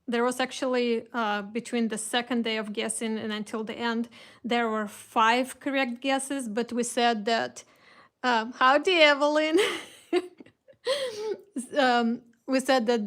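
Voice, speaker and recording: A woman's voice: fairly high pitch, fairly even intonation, unhurried, fairly bright tone. A woman with a Russian accent hosting a casual live craft kit reveal, chatting with viewers, greeting them by name and restarting her sentences often. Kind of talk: casual live-stream chat.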